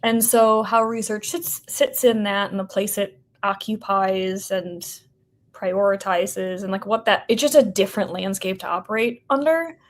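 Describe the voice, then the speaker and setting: A woman's voice: mid-range voice, fairly even intonation, normal speed. An American woman in a casual interview conversation, speaking informally and hedging with lots of "like" and "sort of". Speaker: podcast guest, company representative.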